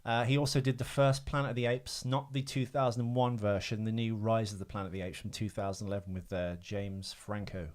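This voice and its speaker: A man's voice: on the low side, fairly even intonation, fairly quick, hardly any pauses. Man bantering casually as podcast co-host. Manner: sweary, joking, mock-grumpy banter. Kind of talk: casual comic film chat.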